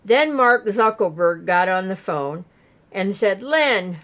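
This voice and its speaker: A woman witness reads a written statement aloud at a very slow pace. The recording is somewhat muffled and lo-fi, and her pitch sits in an average range. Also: lively intonation, fairly bright tone. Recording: dull lo-fi recording.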